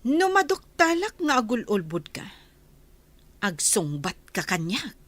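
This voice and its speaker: A slow-talking, jealous madam of the mansion acted by a woman with an Ilocano accent. Her haughty questions swing dramatically up and down, as in a comic radio play, in a dry, close recording. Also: on the high side, sing-song, a bit bright, dry recording, heavily acted. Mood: jealous, scornful. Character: suspicious jealous wealthy madam of a mansion, interrogating maids. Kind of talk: village comedy radio drama.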